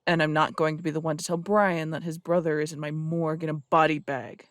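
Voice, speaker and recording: A man's voice: a little high, flat delivery, brisk, a bit bright, no room sound, studio quality, natural voice. A man playing a stern male detective who runs the morgue, blunt and warning in an audio drama.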